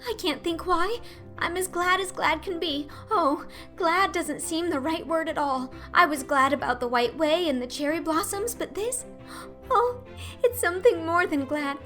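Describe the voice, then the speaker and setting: A high-pitched, gushing young orphan girl, acted out by a woman narrator. She is dreamy and talkative, pouring out every thought with earnest drama, as in a storybook reading with faint music. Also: moderate pace, sharp tone. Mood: overjoyed, tearful. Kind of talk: audiobook character acting.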